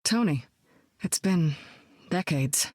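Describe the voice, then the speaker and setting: A seasoned woman superspy with a cool, wry, self-assured delivery. Her voice sits a little low and is recorded close and dry, with no room around it. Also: expressive, slow, no room sound. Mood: warm, amused. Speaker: game hero. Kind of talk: video game voice lines.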